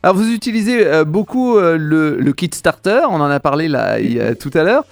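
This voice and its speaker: Male interviewer, high voice, brief questions, faint music under him. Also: expressive, a little fast, vocal fry. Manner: brief questions and short acknowledgements.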